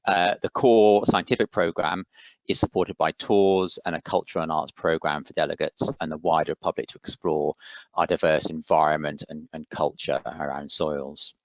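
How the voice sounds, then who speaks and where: Low voiced guy hosting an online webinar, polite n formal, dry muffled sound. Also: fairly even intonation, normal speed, dry recording. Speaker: webinar host. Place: online video call. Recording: dull, dry online call audio.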